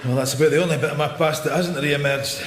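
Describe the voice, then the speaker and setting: An actor playing a wry, world-weary middle-aged Scottish police detective in a radio drama, with a dry, sardonic manner. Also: male voice, fairly high pitch, steady tone, quick pace, natural voice. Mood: sardonic, probing. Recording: noticeable background noise.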